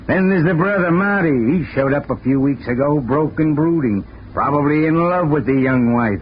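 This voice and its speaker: An actor playing a gruff police lieutenant. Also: male voice, on the high side, normal speed, close to an everyday voice. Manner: gruff, curt, cynical. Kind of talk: 1940s radio acting. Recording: dull old radio broadcast with hiss.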